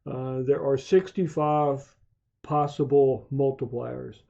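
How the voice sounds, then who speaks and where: A man with a very dark, mellow voice walks through a software tutorial. He speaks slowly and methodically at a medium pitch. The recording is dull and lo-fi. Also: fairly even intonation, gravelly. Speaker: amateur radio hobbyist hosting video tutorials. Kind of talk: software walkthrough narration for a screen tutorial. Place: home radio shack. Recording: dull, muffled lo-fi voice track.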